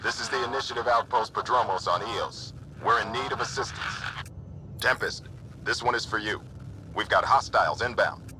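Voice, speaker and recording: A man's voice: medium pitch, moderate pace, pushed and bright, low-quality recording. A man playing a serious, terse leader of a frontier outpost in a video game. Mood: urgent. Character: gruff, dutiful outpost leader, guarded and serious.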